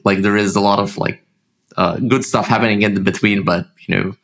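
A man from Israel with a slight Russian-tinged accent, a startup founder talking casually as a guest, halting and full of fillers. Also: lowish voice, steady tone, creaky, fairly bright tone. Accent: Russian-tinged Israeli English. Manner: halting, many fillers and restarts. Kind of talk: casual interview talk.

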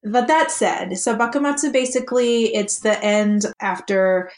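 A woman's voice: on the high side, unhurried. A woman who grew up in Japan, co-hosting a casual podcast chat and talking thoughtfully with many restarts and fillers. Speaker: co-host, program co-chair.

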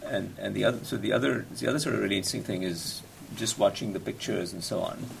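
Deep gravelly man, halting questions. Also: fairly even intonation, heavy background noise. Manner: halting, restarts, checks his understanding with questions. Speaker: faculty researcher. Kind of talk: casual academic discussion.

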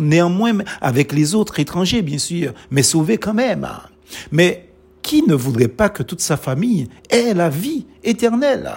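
Male preacher, Creole native speaker, measured emphatic phrasing, very animated pitch. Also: husky. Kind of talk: sermon.

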